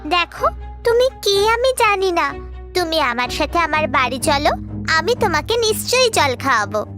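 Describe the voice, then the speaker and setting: A woman plays a kind, innocent little village girl from a cartoon. The voice is extremely high, childlike and earnest, with music clearly playing behind it. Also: big pitch swings, normal speed. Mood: earnest, concerned. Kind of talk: cartoon voice acting.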